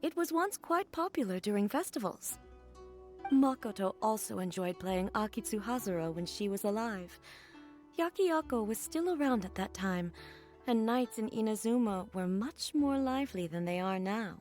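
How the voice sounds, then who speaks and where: A woman playing a dignified, composed female ruler in a video game, speaking formally and politely with measured phrasing. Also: medium pitch, darkish tone, natural voice. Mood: nostalgic. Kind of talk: video game cutscene acting. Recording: music behind the voice.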